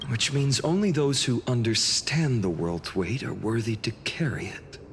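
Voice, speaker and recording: A man playing an earnest young soldier, humble and questioning, with a quietly wry streak. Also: mid-range voice, unhurried, slight background noise, lightly acted. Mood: challenging, wry. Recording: long hall reverb with faint music.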